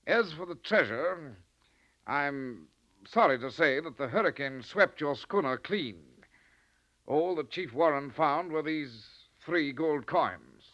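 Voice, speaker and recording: An actor playing a stuffy, sceptical British destroyer captain, brisk and condescending, in 1940s radio acting style on a dull old recording. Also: male voice, a little high, expressive, a bit bright. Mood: placating, brisk.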